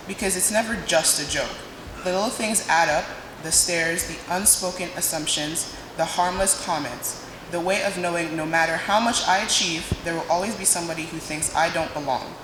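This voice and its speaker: Young woman, dramatic speech. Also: on the low side. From Nigeria. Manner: dramatic, emphatic delivery with pauses. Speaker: student speaker. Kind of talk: prepared speech to an audience. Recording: echoey hall, noisy room sound.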